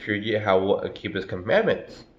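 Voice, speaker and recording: A man's voice: on the low side, expressive, moderate pace, roomy sound, highs cut off. A man with a stuffy cold giving a casual, slangy, profane sermon, calling listeners "bro" and "man". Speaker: preacher. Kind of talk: casual street-style sermon.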